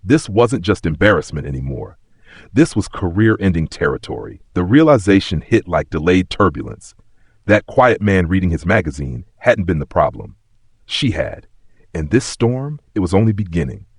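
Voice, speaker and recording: A man's voice: low voice, big pitch swings, moderate pace, slightly rough, dry recording, studio quality. A man narrating a scripted story with a dramatic, suspenseful and emphatic delivery.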